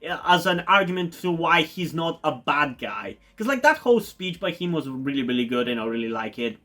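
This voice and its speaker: A man from Bulgaria, with Bulgarian as his first language, rambles through a TV review in a slightly raised voice. He stumbles over fillers and restarts, then piles on eager repeated words when something excites him. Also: fairly high pitch, brisk. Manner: enthusiastic, repeats words for emphasis. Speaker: TV review YouTuber. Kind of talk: casual spoken TV review.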